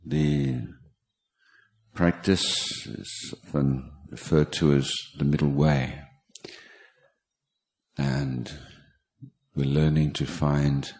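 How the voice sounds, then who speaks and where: A man teaching guided meditation, speaking in a halting, measured way with pauses between short phrases. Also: a bass voice, a little mellow. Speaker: meditation teacher, Buddhist monk. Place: meditation retreat talk in Thailand.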